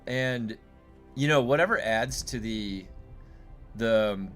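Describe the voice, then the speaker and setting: A man with a loud, booming voice, chatting loosely and profanely on a game live stream from home, with lots of fillers. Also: slow pace, soft background music. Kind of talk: casual live-stream chat. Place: home room.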